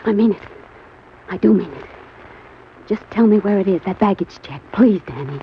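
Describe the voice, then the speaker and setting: A woman's voice: medium pitch, expressive, moderate pace, lightly acted. Noir radio role: woman playing married temptress, pleading and melodramatic, on a muffled old broadcast with slight hiss. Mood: urgent pleading. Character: seductive, pleading married femme fatale. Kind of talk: old-time radio crime drama acting. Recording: dull, slightly noisy old radio recording.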